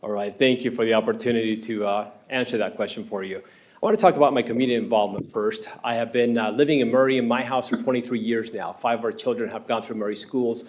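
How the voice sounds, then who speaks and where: Council candidate, 50s, Argentine-accented man at a podium mic, earnest, pauses often. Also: medium pitch, steady tone. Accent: Argentine Spanish. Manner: earnest, halting with frequent uh fillers. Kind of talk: answering an interview question at a public meeting. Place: city council chamber. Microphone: podium microphone.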